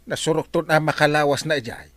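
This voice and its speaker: An actor with an Ilocano Filipino accent playing a blustering, scolding village captain in a radio comedy drama, full of exclamations. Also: male voice, a little high, fast, fairly bright tone, close to an everyday voice. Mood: grumbling.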